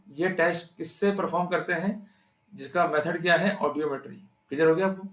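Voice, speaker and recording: A man's voice: a little high, a bit bright, roomy sound. A man with an Indian accent giving an explanatory law lecture, emphatic, putting rhetorical questions to his listeners. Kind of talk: explanatory legal lecture mixing Hindi and English terms.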